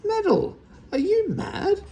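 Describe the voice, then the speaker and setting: A man voicing a stern, easily scandalised older aunt with a sharp, scolding manner, in a children's storybook reading. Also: very high voice, huge pitch swings, takes it slowly, slight background noise, voice pushed up, exaggerated voice. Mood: horrified.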